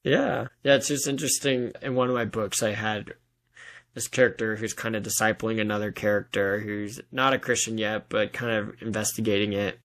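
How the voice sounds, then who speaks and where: A man's voice: fairly low pitch, fairly even intonation, normal speed, fairly bright tone. A man hosting a podcast, casually discussing faith in an earnest, explanatory way peppered with "like". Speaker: podcast host.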